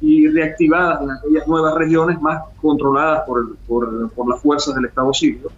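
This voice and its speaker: A man's voice: on the high side, little pitch movement, moderate pace, reverberant, muffled recording, a little hiss or hum. A Venezuelan man with a Venezuelan accent, an international analyst speaking emphatically as a guest on a remote radio interview. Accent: Venezuelan Spanish.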